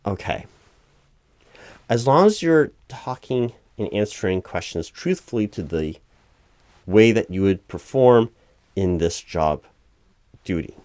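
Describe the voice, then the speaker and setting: Low-voiced man as a halting, officious interviewer. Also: lively intonation, darkish tone, no room sound. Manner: halting, officious, patiently explanatory. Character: flustered, officious male recruiting manager at a company. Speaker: job interviewer in a one-sided roleplay. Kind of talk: loud-spoken ASMR roleplay, improvised interview.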